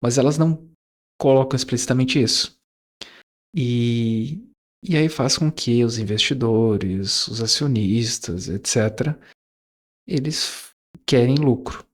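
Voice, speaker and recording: A man's voice: mid-range voice, slow. A man, talking casually to his viewers in a reflective monologue, rambling with fillers and self-corrections. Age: 20s. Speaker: YouTuber.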